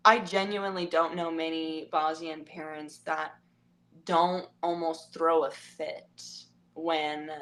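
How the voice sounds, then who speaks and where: A woman from the United States hosting a casual podcast chat, quick to react and agree. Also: deep voice, little pitch movement, a bit bright, low-quality recording. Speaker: podcast host.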